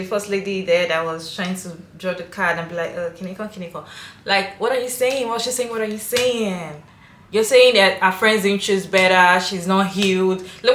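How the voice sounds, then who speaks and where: A woman's voice: medium pitch, a bit bright, roomy sound, a little hiss or hum. A woman hosting a video, giving her opinion emphatically and asking viewers questions. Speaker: video host and commentator. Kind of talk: casual commentary to viewers.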